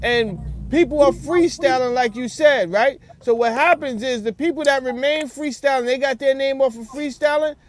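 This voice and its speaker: A man's voice: extremely high pitch, normal speed. A man in a casual, earnest phone conversation, using slang and restating his points. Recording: noisy phone call, background ambience.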